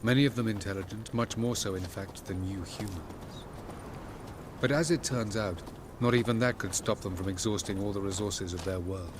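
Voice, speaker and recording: A man's voice: on the low side, moderate pace, noisy background, lightly acted. An actor playing an aloof, ancient male elf sage who speaks in formal, condescending sentences in a video game. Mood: lofty.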